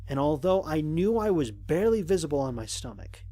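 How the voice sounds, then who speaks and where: A man playing an observant human space explorer, narrating scripted mission logs in a formal, measured, descriptive way with a slightly husky voice. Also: fairly high pitch, very animated, warm tone, no room sound. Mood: curious, observant. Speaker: voice actor narrating an audio drama. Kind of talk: scripted first-person narration, mission log.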